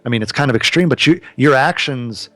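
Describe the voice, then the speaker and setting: A man's voice: mid-range voice, fairly quick, a bit bright, no room sound, faint music behind. A man in his thirties, a podcast guest, talking earnestly and casually about business, swearing now and then. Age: 30s.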